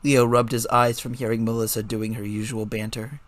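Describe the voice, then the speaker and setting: A man's voice: mid-range voice, level tone, normal speed, no echo. A man reading scripted story narration as a dramatic reading.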